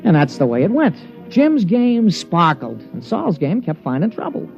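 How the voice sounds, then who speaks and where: An actor playing a loyal, folksy golf caddy who also narrates, in a 1950s radio drama with a plain-spoken manner. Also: male voice, high-pitched, wildly swooping pitch, normal speed, creaky, darkish tone, soft background music, full character voice. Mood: narrating. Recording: dull old radio broadcast.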